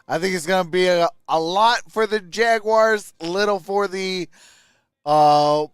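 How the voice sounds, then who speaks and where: A man hosting a sports livestream, giving casual post-game commentary to viewers in a halting, conversational way. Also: high-pitched, clear, resonant tone, no room sound, studio quality.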